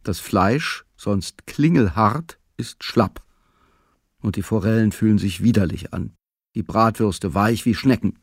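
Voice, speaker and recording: A man narrating a literary audio drama in measured, clipped, matter-of-fact phrasing. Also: lowish voice, slightly rough, no room sound, clean studio sound. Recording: music behind the voice. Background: music.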